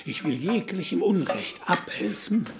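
An actor playing an eccentric, deluded country gentleman past fifty, grandiose and declamatory in a radio drama. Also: sits high, big pitch swings, unhurried, creaky, background noise, exaggerated voice. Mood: grandly resolute.

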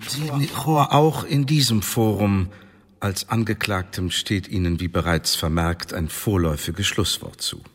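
An actor playing a stern Elizabethan tribunal official in a German radio drama, formal and officious with a needling edge. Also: male voice, on the low side, a little slow, slightly rough. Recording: long reverberant hall.

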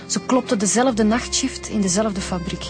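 Level-headed Flemish policewoman played by an actress, medium pitch, measured and businesslike. Radio drama, music behind. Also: lightly acted. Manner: matter-of-fact, measured.

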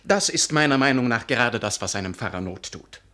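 A man's voice: mid-range voice, animated, brisk, sharp tone. A man playing an earnest, pious and touchy young pastor in a radio drama, solemn and intense. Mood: stern, righteous. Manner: solemn, intense, given to sudden outbursts. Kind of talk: German radio drama acting.

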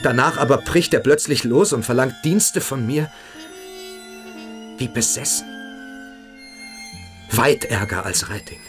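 An actor playing a shamed, evasive teenage cadet in a radio play, halting and self-justifying. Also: male voice, medium pitch, expressive, music in the background, close to an everyday voice. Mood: anxious. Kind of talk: German radio play acting.

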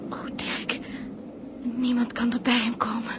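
A woman's voice: fairly high pitch, monotone, measured pace, natural voice. An actress playing an anxious, emotional woman in a 1960s radio play, breathless and dramatic. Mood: despairing. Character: anxious emotional woman watching a doomed comrade. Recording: noisy 1960s radio recording.

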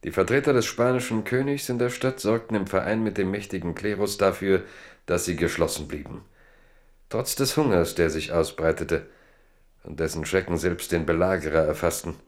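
Narrator: male, very low register, scripted literary storytelling for radio drama. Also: normal speed.

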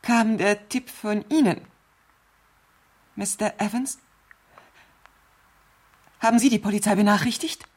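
An actress playing an anxious, distraught wife in a German radio crime drama, agitated and pleading. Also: female voice, medium pitch, fairly even intonation, takes it slowly, long pauses.

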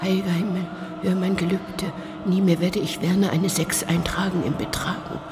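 An actress in a radio play voicing a pious village schoolteacher with a creaky voice, praying fervently for rescue. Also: female voice, fairly low pitch, level tone, normal speed, lightly acted. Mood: fervent, pleading.

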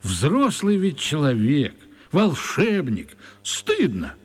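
A man's voice: fairly high pitch, wildly swooping pitch, slow, husky, full character voice. An actor playing a dismissive, disapproving man in an audio drama, reproaching others. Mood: reproachful. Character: disapproving man scolding an adult like a naughty child. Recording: echoey hall reverb.

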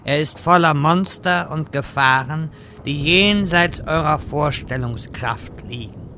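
A vain, theatrical wizard acted by a man in a parody audio drama. His voice is bright and forceful, set a little high, and he speaks in pompous, stilted phrases. Faint noise sits behind him. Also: takes it slowly. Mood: solemn. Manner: grandiloquent, stilted wording. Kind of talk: parody radio-play acting.

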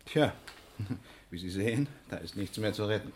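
Deep husky voice, well-mannered pharmacy owner, earnest, sixties radio crime drama. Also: male voice, animated, normal speed. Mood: courteous, brisk. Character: courteous male pharmacy owner, shaken but protesting. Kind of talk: 1960s German radio crime drama acting.

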